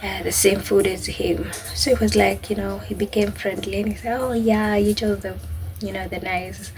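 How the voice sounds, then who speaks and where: A woman with a Kenyan accent talks casually to her viewers. Her voice has a noticeable creak and sits at an ordinary pitch. She recounts things in a halting, chatty way, over faint background noise. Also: darkish tone, low-quality recording. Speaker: vlogger. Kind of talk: casual personal storytelling to camera.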